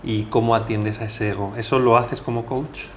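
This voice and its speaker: A man's voice: medium pitch, fairly even intonation, moderate pace, a bit bright, slight background noise. A man hosting a podcast, speaking in a conversational interview style as he introduces and questions his guest.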